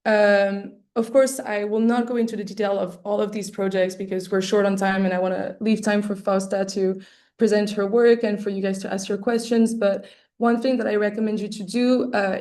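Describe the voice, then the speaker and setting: A woman with a mellow, slightly hoarse voice, losing it as she talks. She hosts a webinar over a computer mic, hesitating on fillers and restarting phrases. She keeps a practical, explaining tone. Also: medium pitch, fairly even intonation, moderate pace. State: losing her voice, clearing her throat often. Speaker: webinar presenter for an arts award. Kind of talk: explaining an application process. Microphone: computer mic on a video call.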